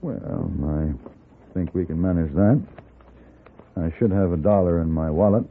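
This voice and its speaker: A man playing a suave, dry-witted American gentleman gunfighter in a 1950s radio western, urbane and wry. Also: deep voice, unhurried. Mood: indulgent. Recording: muffled old radio broadcast recording.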